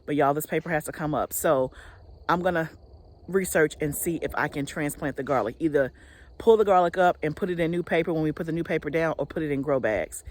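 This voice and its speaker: A woman's voice: deep voice, a little fast, a little mellow. A middle-aged woman talks casually to her viewers, calling them y'all and restarting her sentences as she goes. She speaks outdoors in an open farm field, recording into a phone held at arm's length. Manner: chatty, keeps addressing viewers as y'all, frequent restarts. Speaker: homestead vlogger. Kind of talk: casual chat to camera.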